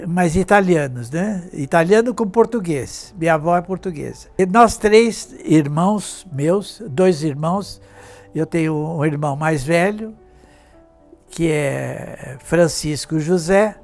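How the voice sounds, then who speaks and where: Elderly man, 80s, from Brazil, high voice, rambling reminiscence, faint music behind. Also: darkish tone. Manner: rambling, with many restarts and tag questions. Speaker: interviewed artist and fashion designer. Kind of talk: reminiscing in an interview.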